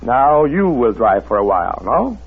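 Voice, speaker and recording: A man's voice: mid-range voice, very animated, normal speed, gravelly, noisy background. A man acting a sly, menacing foreign schemer in an old radio thriller, with a sardonic, mock-polite manner. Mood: smug, menacing. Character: sly, teasing foreign man held at gunpoint, menacing when armed. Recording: muffled vintage radio recording with music.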